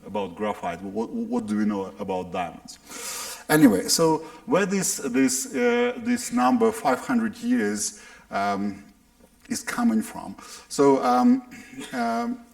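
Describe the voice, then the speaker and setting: Gravelly Russian-accented man lecturing. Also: mid-range voice, big pitch swings, darkish tone. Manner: halting, many restarts and repeated words. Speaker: physicist giving a public lecture. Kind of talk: public science lecture.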